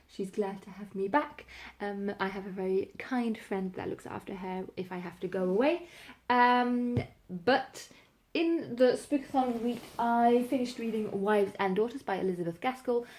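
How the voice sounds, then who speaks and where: A woman's voice: a little high, a little mellow. A woman talking casually and informally as a book vlogger, thinking aloud as she goes. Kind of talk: casual spoken reading vlog.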